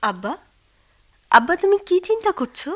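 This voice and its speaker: An actress playing a caring, anxious young daughter in a radio drama, speaking in a pleading, solicitous way. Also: female voice, high voice, big pitch swings, normal speed.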